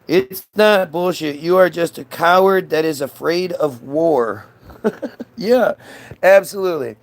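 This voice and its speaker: A man's voice: fairly high pitch, measured pace. A man in a casual, combative political rant, dismissive and sweary as he answers chat comments. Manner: frequent swearing.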